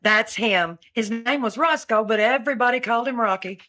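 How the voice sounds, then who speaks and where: An actress playing a chatty young woman eyewitness in a comic radio drama, rambling and deadpan. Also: on the high side, a little fast. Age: 20s. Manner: chatty, meandering, with an offbeat deadpan.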